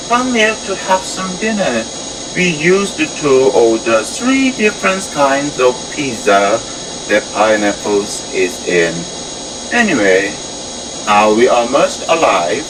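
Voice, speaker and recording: An actor playing an enthusiastic research team leader in an amateur audio drama, speaking halting, stilted English. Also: medium pitch, sing-song, background noise. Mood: chatty. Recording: music under the voice.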